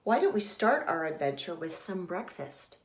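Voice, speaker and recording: A brave female red fox from a children's storybook, read by a woman. She speaks with composed, gentle firmness. The room is noticeably echoey. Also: on the low side, sing-song, normal speed. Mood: calm.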